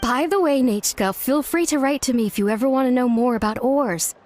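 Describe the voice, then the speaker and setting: A woman voicing a friendly, chatty villager in a game cutscene, bright and welcoming. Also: high-pitched, a little fast, close to an everyday voice. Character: cheerful woman villager, warm-hearted and chatty. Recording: game audio with background music.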